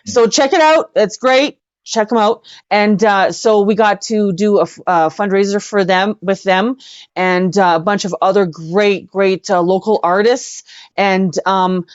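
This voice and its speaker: A woman's voice: mid-range voice, unhurried, clean tone, dry recording, muffled recording. A woman chatting casually as an interview guest, effusive and repeating words for emphasis. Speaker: interview guest, singer-songwriter and actress.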